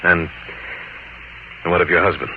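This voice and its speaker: An actor playing a weathered seafaring adventurer telling his tale gravely and deliberately, in old-time radio drama style. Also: low voice, level tone, background noise, close to an everyday voice. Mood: torn, restrained. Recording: muffled vintage radio broadcast, music behind.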